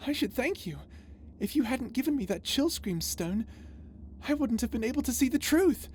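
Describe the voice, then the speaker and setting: A woman playing an unhinged, vengeful, lovesick and tormented space villain in an ASMR roleplay drama, speaking in a theatrical, halting way. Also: high voice, darkish tone, a little hiss or hum. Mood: haunted menace. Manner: theatrical, halting, swinging between tender menace and anguish.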